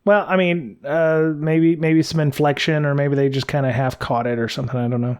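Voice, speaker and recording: A man's voice: on the high side, fairly even intonation, fairly quick, clear, resonant tone. American man chatting in a hesitant ramble. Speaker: podcast co-host. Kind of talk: casual podcast chat.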